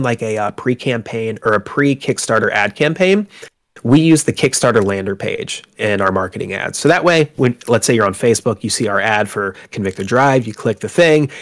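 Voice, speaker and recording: A man with a congested, slightly sick voice, talking casually as a guest about running his tabletop game company, with many fillers and restarts. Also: mid-range voice. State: congested from a cold. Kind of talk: casual interview conversation. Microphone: home microphone on a call.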